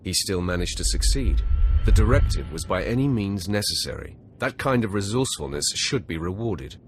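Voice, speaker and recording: A man's voice: low voice, lively intonation, moderate pace. An actor playing a self-assured male Sith acolyte in a video game, terse and controlled, with music underneath. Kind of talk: scripted video game drama.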